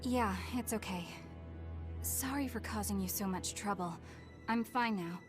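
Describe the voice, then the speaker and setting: A woman's voice: medium pitch, unhurried, over music, lightly acted. An actress playing a polite, earnest and determined young woman fighter in a video game, speaking sincerely. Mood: earnest, apologetic. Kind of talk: English video game dub acting.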